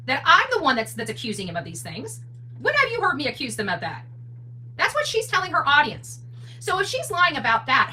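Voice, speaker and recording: A woman's voice: sits high, bright, forceful tone. A woman hosting a livestream commentary, speaking bluntly and combatively to her viewers with emphatic rhetorical questions. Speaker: livestream host, advocate commentator. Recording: distant mic, faint hum noise.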